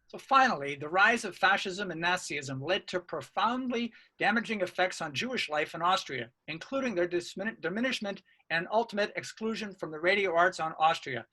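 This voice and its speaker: High male voice, sharp bright tone, formal researcher, earnest scripted conference-paper delivery. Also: lively intonation, normal speed, few pauses. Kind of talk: scripted academic presentation, read aloud.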